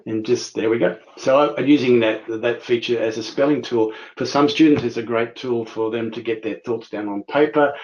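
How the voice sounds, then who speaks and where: An Australian man walks through a software demo for a webinar audience, chatty and halting as he clicks along. His voice sits at an ordinary middle pitch. The audio is a little dull and carries a faint hiss. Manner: chatty, halting, many restarts and fillers. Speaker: webinar host demonstrating software.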